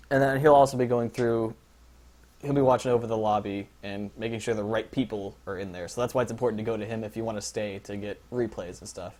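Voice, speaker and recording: Casting: young guy, mid-pitched, loose and rambling, jokey league organizer doing a casual stream briefing. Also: darkish tone. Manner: rambling, filler-heavy, joking.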